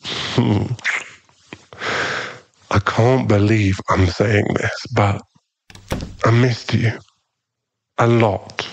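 A man playing a possessive, tender and dangerous mafia boss boyfriend in an intimate, teasing ASMR roleplay, lingering on his pauses. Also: on the low side, vocal fry, no echo, full character voice. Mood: tender, relieved.

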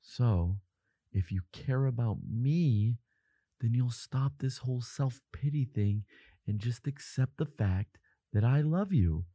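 A man acting a devoted, protective boyfriend in an intimate audio roleplay, speaking softly in halting, earnest reassurance. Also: medium pitch, lively intonation, breathy edge, no room sound. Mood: insistent, loving.

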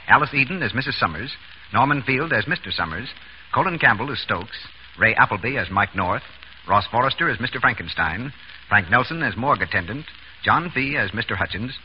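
A man reading scripted announcements in a formal 1930s radio style. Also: mid-range voice. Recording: muffled, noisy old radio broadcast.

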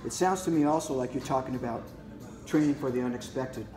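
A man hosting a casual interview, putting questions haltingly and rephrasing them as he goes. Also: mid-range voice, music in the background. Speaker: interviewer and host. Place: martial arts gym with mats, indoors. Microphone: camera a few feet away. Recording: echoey room.

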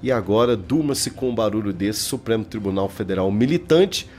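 A man's voice: on the low side, animated, normal speed, darkish tone, soft background music. A man in his thirties with a Brazilian Portuguese accent, giving informal, emphatic political commentary straight to his viewers. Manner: colloquial, emphatic, addressing viewers directly. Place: small indoor room, home studio. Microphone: desk microphone.

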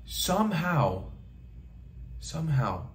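A man's voice: on the high side, very animated, slow pace. A young man talking straight to the camera in a small bedroom, giving an impassioned monologue and repeating phrases for emphasis. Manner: emphatic, repeats phrases for rhetorical effect, gestures with hands. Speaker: travel vlogger.

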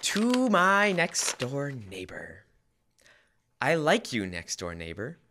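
A young man playing an earnest, fussy husband in an old-time radio comedy, with hesitant, deliberate comic timing. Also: mid-range voice, sing-song, background noise. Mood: reciting, deadpan. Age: teens to young adult. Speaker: student actor. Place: school library, indoors, live stage reading. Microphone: stand microphone.

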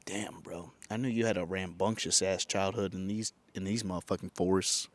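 30ish guy on a podcast, kinda low voice, swearing a lot, breaks into goofy singing, outdoor patio mic. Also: normal speed, a little hiss or hum. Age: young adult. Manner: animated, profane, bursts into silly mock singing. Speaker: podcast host. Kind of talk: casual comedic chat. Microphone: handheld mic.

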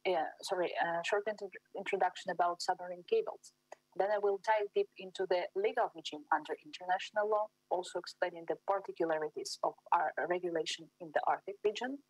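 Woman, 30s, from Siberia; academic presenter on a webinar call; husky, lowish voice. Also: fairly even intonation, fast, no room sound, rough recording. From Russia, Siberia. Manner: careful, formal, with frequent fillers and restarts. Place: small room at home.

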